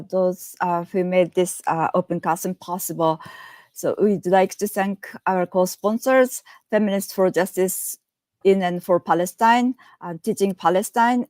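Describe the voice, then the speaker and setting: A woman's voice: on the low side, darkish tone, dry recording. Hesitant woman reading thanks. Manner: hesitant, many fillers. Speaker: co-moderator. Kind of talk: opening announcements.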